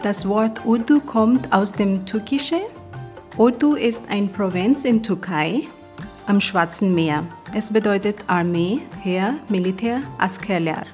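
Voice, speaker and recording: A woman from Pakistan's Punjab speaks, her being Punjabi. She reads a prepared talk at a careful, measured pace and lets small grammar slips through. Her pitch sits in the middle for a woman. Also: darkish tone, faint music behind. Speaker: guest presenter.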